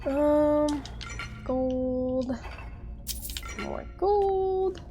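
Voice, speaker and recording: A slightly high-voiced woman chats to her stream viewers while she plays, her voice stuffy and congested from a cold. She talks loosely with a lot of "like", easygoing and conversational, over faint game music in the background. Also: drawn-out words. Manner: chatty, talks straight to viewers with lots of "like". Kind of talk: casual live-stream gameplay chat.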